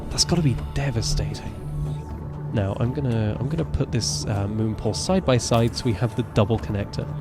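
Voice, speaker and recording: A man in his twenties with a naturally deep voice, rambling casually as he streams a game live. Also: brisk, loud music behind the voice. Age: 20s. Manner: chatty, rambling, self-correcting. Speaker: gaming streamer. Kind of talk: casual live gameplay commentary.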